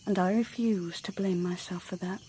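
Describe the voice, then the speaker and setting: An actress playing a cool, self-possessed young woman in a radio drama, with a hushed, candid manner. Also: fairly low pitch, steady tone, moderate pace, slight background noise, natural voice, rough recording. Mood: quietly defiant. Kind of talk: intimate radio drama acting.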